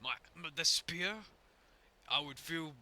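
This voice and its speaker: A man streaming a game with a gravelly voice, rambling and swearing as he talks in and out of character. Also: fairly high pitch, sharp tone, slight background noise. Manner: rambling, halting, swears a lot. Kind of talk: casual in-character game commentary.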